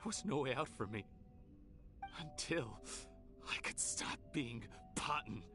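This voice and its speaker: Man acting a bitter, animated criminal researcher. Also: medium pitch, unhurried, music in the background, lightly acted. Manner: theatrical, indignant monologuing. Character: bitter, embittered male researcher turned criminal, self-justifying. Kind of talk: dramatic game voice acting.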